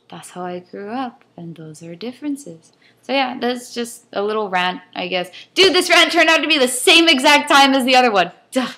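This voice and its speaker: A young woman speaks in a Californian accent flecked with a Chinese-immigrant lilt, her voice sitting at an ordinary middle pitch. She talks in a loose, thinking-aloud way, pausing on ums and trailing off mid-thought as she rants to her camera. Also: vocal fry, fairly bright tone. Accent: California, mixed with a Chinese-immigrant lilt. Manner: rambling, hesitant, thinking aloud with many ums. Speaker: vlogger. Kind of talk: casual rant to camera.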